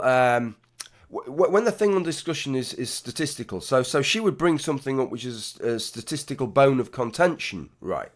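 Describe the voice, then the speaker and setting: A man with a hoarse, gravelly voice talks through his own commentary in a rambling, unscripted way, often restarting sentences mid-thought and dropping blunt swear words and wry asides. His voice sits at an ordinary middle pitch for a man. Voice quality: gravelly, husky. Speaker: YouTube commentator. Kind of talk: casual spoken commentary vlog.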